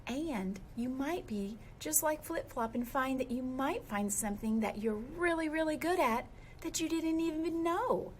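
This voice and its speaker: Slow-paced, high-voiced woman reading to children. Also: a little hiss or hum. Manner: expressive, addressing children directly. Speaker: storybook reader for young children. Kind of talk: children's story read-aloud.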